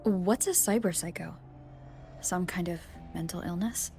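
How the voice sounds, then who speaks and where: A woman playing a calm, gentle, inquisitive young heroine in a game, asking composed questions. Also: fairly low pitch, a little slow, creaky, soft background music. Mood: calm, curious. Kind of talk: animated game character dialogue.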